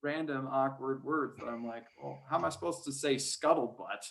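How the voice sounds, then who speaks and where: A man chats casually as an interview guest with a medium-pitched voice. He rambles and hesitates, circling back over his words like a sports announcer off the clock. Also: normal speed, vocal fry. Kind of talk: casual podcast interview chat.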